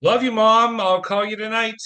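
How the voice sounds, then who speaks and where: A man's voice: sits high, steady tone, normal speed, bright, forceful tone, clean tone. A man acting in an audio play as a harried, wry, affectionate businessman father with dry sarcasm. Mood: sarcastic.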